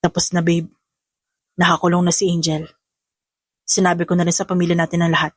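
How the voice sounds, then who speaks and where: A devoted young fiancé and father in an audio love drama, acted by a woman narrator. The voice drops lower and stays soft and affectionate. The recording is dry and close. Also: monotone, brisk, breathy edge, no room sound. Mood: loving.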